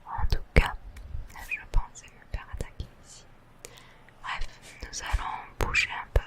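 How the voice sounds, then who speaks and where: A host whispering soft, gentle, chatty commentary over a video game in ASMR style. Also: measured pace. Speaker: host of a relaxation gaming channel.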